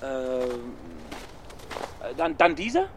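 Nervous poor scholar, stammery and earnest, voice jumps up and down, bit of background noise. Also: male voice, medium pitch, huge pitch swings, very slow, full character voice. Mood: hesitant, flustered. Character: timid, earnest, poor young male scholar. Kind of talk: children's radio play acting.

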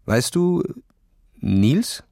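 A man's voice: medium pitch, sing-song, very slow, warm tone, dry recording, studio quality, voice pushed up, heavily acted. A man voicing a cocky, condescending boy who brags in casual slang, in a children's audio story. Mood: boastful. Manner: bragging, sneering, casual youth slang.

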